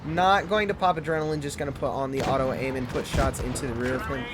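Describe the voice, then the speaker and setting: Fast-talking man reviewing a game tank. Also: medium pitch, steady tone, noisy background. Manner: fast-talking, loose and chatty. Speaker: gaming channel host.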